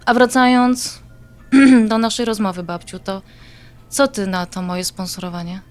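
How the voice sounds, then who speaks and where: A woman's voice: mid-range voice, little pitch movement, unhurried, soft background music, lightly acted. A woman playing an affectionate adult granddaughter in an audio drama, talking in a coaxing, familiar way. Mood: persuasive.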